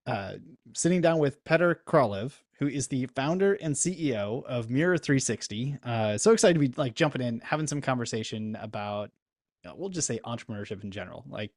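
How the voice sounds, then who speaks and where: A man's voice: mid-range voice, lively intonation, fairly quick, darkish tone, no room sound, clean studio sound. A man hosting a business interview, rambling through questions with lots of fillers. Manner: rambling, with many fillers like "kinda" and "you know". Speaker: interview host and business coach.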